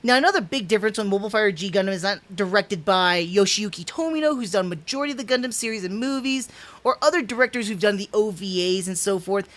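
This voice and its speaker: Man, 30s, livestream reviewer. Casual, animated, rambling with restarts and stumbles. Home room, desk webcam. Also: high voice, fast talker. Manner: rambling, restarts, stumbles over names, chuckles; animated, gestures with hands while talking. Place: small home room indoors.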